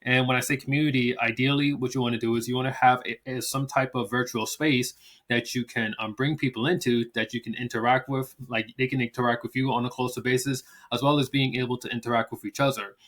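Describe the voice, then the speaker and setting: A man's voice: medium pitch, steady tone, fast, a bit bright. A man hosting his channel, explaining in a loose, rambling way with lots of fillers and restarts. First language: English. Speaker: channel host teaching language promotion. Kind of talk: casual talk-to-camera explainer.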